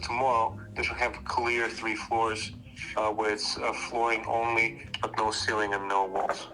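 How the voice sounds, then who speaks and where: A man's voice: on the low side, flat delivery, unhurried, sharp tone, poor audio. A man giving a casual progress update to a colleague on a work call.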